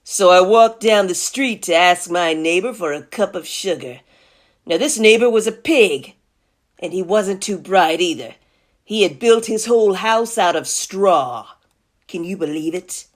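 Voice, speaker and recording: A woman's voice: lowish voice, lively intonation, unhurried. A woman voicing a folksy, indignant male wolf who tells his side of a storybook tale with mock innocence. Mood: mock-innocent.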